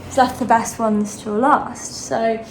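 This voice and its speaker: A woman's voice: fairly high pitch, steady tone, unhurried, far from the mic, slight background noise. Chatty woman, off-mic echo. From England. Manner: chatty, playful, self-deprecating. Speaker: travel vlogger. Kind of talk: casual chat to camera.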